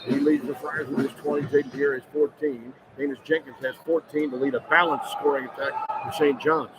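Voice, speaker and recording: A man's voice: a little high, fairly even intonation, fairly quick. A man doing live radio play-by-play of a basketball game as the announcer. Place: courtside in a large indoor arena. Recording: noisy live arena broadcast.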